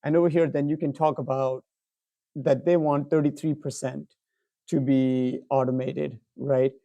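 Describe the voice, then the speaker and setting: A man giving an informal product demo as a presenter, explaining things in a halting way with frequent filler pauses. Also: mid-range voice, flat delivery, vocal fry, mellow, no room sound, muffled recording.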